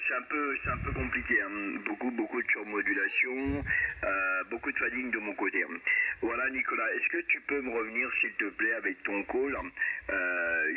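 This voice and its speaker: A man operating an amateur radio, chatting casually and repeating himself, heard through a radio transmission. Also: on the low side. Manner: repeats himself, frequent "hein" tags. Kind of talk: casual radio contact chat. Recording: narrow noisy radio link, static and interference. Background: radio static and interference.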